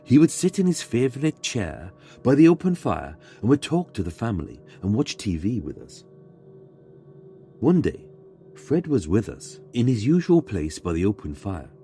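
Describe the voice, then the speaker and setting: A man reading a scripted ghost story in a measured, dramatic way, pausing for suspense. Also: lowish voice, sing-song, mellow, soft background music. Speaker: guest narrator reading a submitted ghost story.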